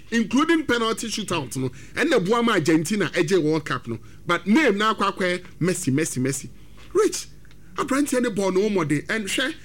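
A man with a Ghanaian accent hosting a football talk segment, animated and switching between English and Twi. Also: high voice. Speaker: sports radio host.

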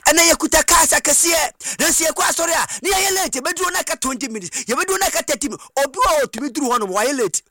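High-pitched Ghanaian man preaching emphatically. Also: lively intonation, quick pace, hardly any pauses, no room sound, clean studio sound, faint music behind. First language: Twi. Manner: emphatic, repetitive, rhetorical questions, swinging into shouts and chant.

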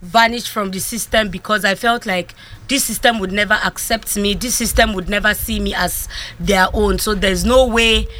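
A woman's voice: medium pitch, steady tone, moderate pace, fairly bright tone. A young adult woman comedian with a Ghanaian accent, speaking candidly and animatedly in an interview, now and then slipping into Pidgin. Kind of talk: interview conversation.

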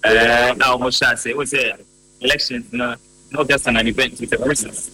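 A man, an elections committee member, giving halting answers in an interview. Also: mid-range voice, steady tone, pushed and bright, slightly rough, poor audio. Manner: halting, searching for words.

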